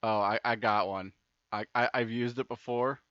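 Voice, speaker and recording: A man's voice: medium pitch, steady tone, normal speed, fairly bright tone, no room sound. A young man doing casual let's play commentary and reading game text aloud, informal and stumbling over repeated words. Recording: dull lo-fi mic with music behind. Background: game music.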